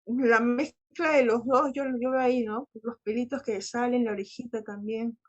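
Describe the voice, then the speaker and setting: A woman co-host chatting casually, somewhat halting and often checking that others agree. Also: on the high side, fairly even intonation, dull audio. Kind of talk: casual chat.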